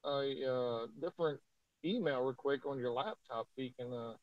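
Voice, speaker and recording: A man's voice: mid-range voice, normal speed, dull audio. A man chatting casually on a live stream, explaining things patiently step by step. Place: Texas.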